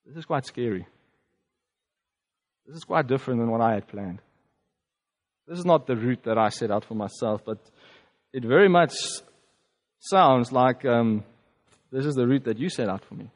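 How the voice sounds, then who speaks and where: A man with a South African accent, a native Afrikaans speaker, giving a reflective personal testimony as a preacher, conversational and halting with fillers. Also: mid-range voice, animated, long reverb tail.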